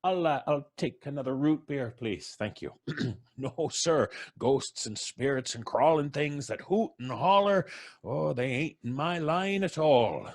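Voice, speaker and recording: Old country storyteller, raised pitch, animated swings, superstitious, garrulous, folksy, old time radio drama. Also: male voice, unhurried. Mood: folksy, wary. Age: older. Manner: folksy, garrulous, chuckling asides and muttered hesitations. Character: rambling, superstitious old country man telling ghost lore.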